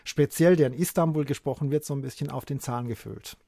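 A man raised in Germany, a native Turkish speaker, answering as an author in a conversational interview with animated, emphatic explanations. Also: mid-range voice, brisk, creaky, dry recording, clean studio sound.

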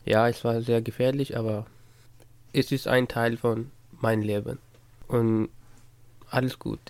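A man's voice: medium pitch, little pitch movement, measured pace, darkish tone, dry recording. A man in his twenties from Pakistan with a Pakistani accent, speaking plainly in simple sentences in a radio studio. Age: 20s. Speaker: interview guest.

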